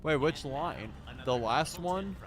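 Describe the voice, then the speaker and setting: A man's voice: on the high side, lively intonation, slow pace, fairly bright tone. A man hosting a casual stream chat, laid-back and swearing freely as he reads out viewer messages. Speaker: streamer host.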